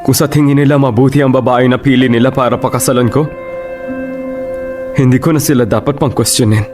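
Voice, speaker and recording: A man's voice: mid-range voice, steady tone, brisk, music in the background, lightly acted. An actor playing a torn, apologetic man bound by duty, speaking earnestly in a Tagalog radio romance. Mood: resigned. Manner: earnest, mixes Tagalog with English.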